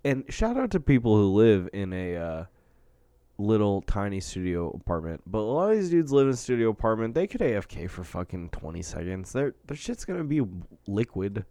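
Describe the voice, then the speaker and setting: Man, low voice, dry close sound, profane joking podcast banter, laughs a lot. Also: big pitch swings, fairly quick, dark tone, no echo. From Indiana, US. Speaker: podcast co-host. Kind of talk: casual podcast chat.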